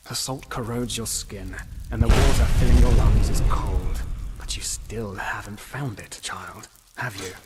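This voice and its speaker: A man's voice: lowish voice, fairly even intonation, unhurried, lightly acted. Actor as tormented, bitter man in reverberant hall. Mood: taunting, menacing. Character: tormented, bitter young man, fatalistic and accusing. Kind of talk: dramatic fantasy game acting. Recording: long hall reverb with noise.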